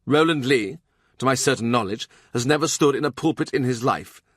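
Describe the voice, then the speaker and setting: A man's voice: medium pitch, fairly quick, no room sound, clean studio sound, voice pushed up, natural voice. A man voicing a proud, sneering bishop at a royal court in a historical audiobook, vehement and sardonic. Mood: bitter, proud.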